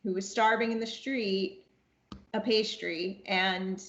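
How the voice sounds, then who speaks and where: A woman's voice: mid-range voice, fairly even intonation, slow pace, fairly bright tone. A woman chatting as a podcast guest, making her points emphatically. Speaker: podcast guest, audiobook cast member. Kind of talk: casual discussion. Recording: echoey room, muffled audio.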